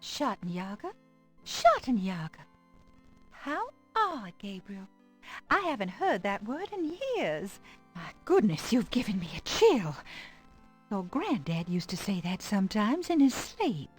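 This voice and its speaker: Kindly old grandmother, very expressive. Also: medium pitch, measured pace, husky. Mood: uneasy, puzzled. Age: older. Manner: fond, expressive storytelling. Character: kindly old grandmother, wistful widow, fond of her grandson. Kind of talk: voice acting in a 1990s adventure game. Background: game music.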